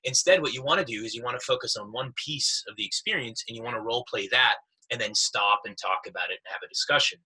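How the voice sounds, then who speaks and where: A man's voice: mid-range voice, fast, hardly any pauses, pushed and bright, breathy edge, poor audio. A man being interviewed as a sales executive, explaining conversationally with examples in an emphatic, informal way. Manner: emphatic, informal, acts out quoted remarks.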